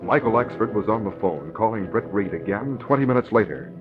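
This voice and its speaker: A man's voice: fairly low pitch, lively intonation, fairly quick, over loud music, close to an everyday voice. A man performing as a dramatic narrator of a 1940s radio serial, with scripted delivery. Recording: muffled vintage radio recording.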